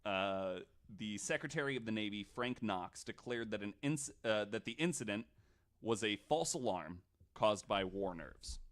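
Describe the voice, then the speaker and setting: Bright-voiced man telling a podcast story. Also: on the low side, lively intonation, moderate pace. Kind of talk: casual comedic storytelling chat.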